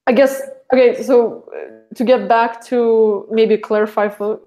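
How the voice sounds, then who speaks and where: A woman's voice: fairly high pitch, fairly even intonation, measured pace, warm tone, soft background music, rough recording. A young woman from Russia, a podcast guest talking casually and rambling with many restarts.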